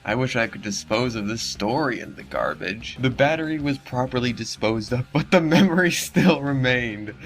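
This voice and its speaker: A man's voice: mid-range voice, a bit bright. A man reading a story in a mock-dramatic way, breaking into casual, sarcastic and exasperated commentary. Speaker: narrator reading and mocking a horror story. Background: background music under the voice.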